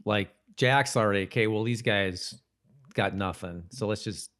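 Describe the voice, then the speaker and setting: Easygoing man chatting, somewhat low voice. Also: normal speed, a bit bright. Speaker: podcast co-host. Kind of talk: casual chat about a TV episode.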